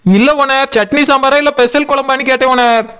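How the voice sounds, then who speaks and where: Someone voicing a high-pitched boastful cartoon idli seller. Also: steady tone, brisk, a bit bright, smooth. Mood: pleading, cheeky. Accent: Kanyakumari Tamil. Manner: sales patter, pleading, repetitive. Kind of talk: comic cartoon dialogue.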